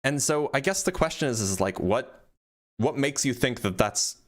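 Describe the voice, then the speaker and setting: A man's voice: mid-range voice, animated, moderate pace. A man hosting an online debate, patiently questioning a caller and explaining science with frequent restarts. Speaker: debate host.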